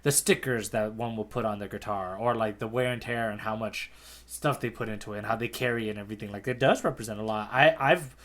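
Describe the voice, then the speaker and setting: A man's voice: fairly low pitch, fast talker, a bit bright. A man co-hosting a podcast, talking in a casual, slangy way and often repeating words as he thinks aloud. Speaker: podcast co-host. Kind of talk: casual conversational discussion.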